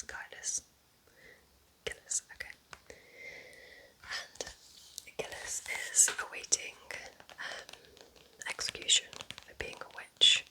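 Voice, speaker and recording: A woman whispering gently and unhurriedly in a breathy, chatty ASMR style as she recommends books. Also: low-quality recording. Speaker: ASMR video creator playing a kind witch.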